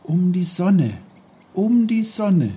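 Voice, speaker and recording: A curious little boy from a children's storybook, acted out by a male reader. The voice is lifted high and a little husky. He is eager and tender-hearted, full of wide-eyed questions and exclamations. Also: very animated, takes it slowly, dark tone, heavily acted. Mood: wondering. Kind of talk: German children's storybook character voice.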